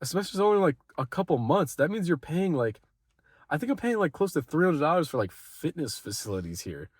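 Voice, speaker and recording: A sick young man vlogging casual gym advice, sounding a bit raspy and nasal, rambling with lots of fillers and swearing. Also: on the high side, big pitch swings, fairly quick, dry recording, studio quality. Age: young adult. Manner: rambling, laid-back, swears often, lots of "like". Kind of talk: casual motivational vlog.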